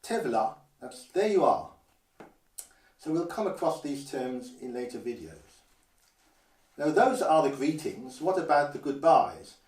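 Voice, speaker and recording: An older man from Guernsey teaching basic phrases in a measured, careful way and slowing down to model each phrase. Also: fairly high pitch, big pitch swings, darkish tone. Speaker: language teacher. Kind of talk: instructional explanation. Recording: roomy echo, a little distant from the mic.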